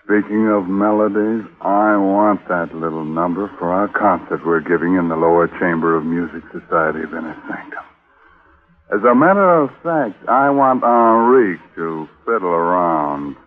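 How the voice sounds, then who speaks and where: A man's voice: sits low, normal speed, narrow, tinny audio. A man hosting a 1940s radio mystery show in a theatrical, sly, tongue-in-cheek manner. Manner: theatrical, sly, macabre joking. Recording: thin 1940s radio recording.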